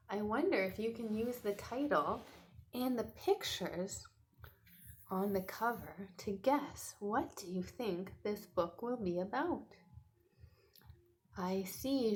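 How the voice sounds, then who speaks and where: A woman teacher giving a read-aloud lesson for children, coaxing young learners with questions. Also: mid-range voice. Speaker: teacher reading a picture book to children.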